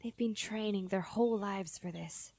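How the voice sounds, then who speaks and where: Casting: woman to play brisk middle-aged guardian, mid-range voice, firm and decisive, fantasy audio drama, very dry acoustic. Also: medium pitch, a little mellow, no echo, lightly acted.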